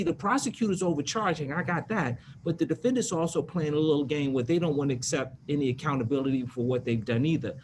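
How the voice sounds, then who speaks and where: Emphatic higher-voiced man over some noise. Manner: emphatic, blunt, colloquial. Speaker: defense attorney on a panel. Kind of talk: spontaneous, argumentative panel talk. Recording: noisy background ambience.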